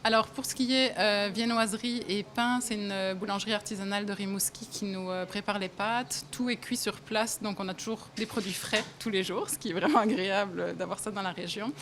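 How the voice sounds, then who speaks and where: A woman's voice: medium pitch, fairly even intonation, fairly quick, few pauses, fairly bright tone, slight background noise. A young woman from Belgium, a café owner, talking casually in an interview with frequent hesitations and small laughs. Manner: hesitant, many fillers, laughs often. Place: café, indoors.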